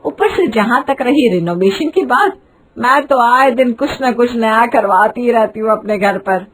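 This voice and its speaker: A woman's voice: a little high, a little slow, low-quality recording. A woman voicing a boastful, dramatic middle-aged Indian mother-in-law in a cartoon moral story. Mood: boastful, mocking. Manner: haughty, dramatic, wheedling.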